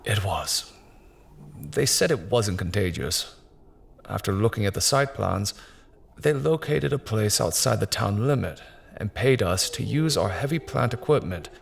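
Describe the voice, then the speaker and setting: A man's voice: on the low side, gravelly, a little hiss or hum. An actor playing a grave, hesitant construction company man recalling something grim in an audio drama. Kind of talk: naturalistic audio drama dialogue, recounting in an interview.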